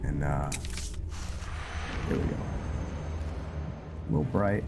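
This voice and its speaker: A man giving casual commentary on a game playthrough, thinking aloud and narrating his actions in a halting way. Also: a bass voice, background noise. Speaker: gaming video host. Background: loud game music.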